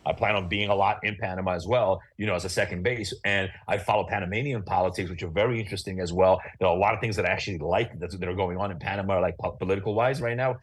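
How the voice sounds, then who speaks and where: A blunt, opinionated man talks rapidly through a casual interview. His voice is husky and sits a little low, and he piles one thought onto the next. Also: steady tone. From United States. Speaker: real estate agent, guest.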